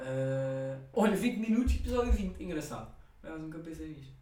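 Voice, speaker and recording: Quick young Portuguese guy, distant echoey mic. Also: fairly high pitch, expressive, warm tone. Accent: European Portuguese. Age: young adult. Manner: slangy, rambling. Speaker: podcast co-host. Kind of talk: casual banter with a friend. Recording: echoey room, distant mic, faint noise.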